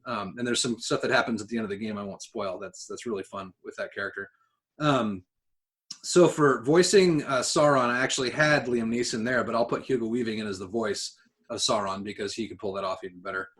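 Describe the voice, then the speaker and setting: A man's voice: fairly low pitch, fairly quick, a bit bright. A man co-hosting a casual podcast chat, speaking his opinions freely with plenty of swearing. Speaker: podcast co-host. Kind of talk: casual podcast chat about films and games.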